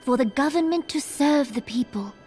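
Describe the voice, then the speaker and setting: An actress playing a small, earnest young female ruler in a fantasy game, speaking in measured, formal phrases. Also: sits high, expressive, a little mellow, faint music behind. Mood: grave, resolute. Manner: regal, measured, archaic phrasing.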